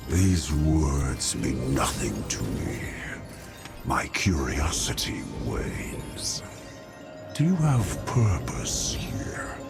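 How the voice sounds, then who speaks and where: A man playing a haughty, brooding, menacing inhuman ruler in a video game, speaking with slow theatrical disdain and breathy, gravelly edges. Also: a bass voice, heavily acted. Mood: contemptuous menace. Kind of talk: video game cutscene acting. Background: loud music.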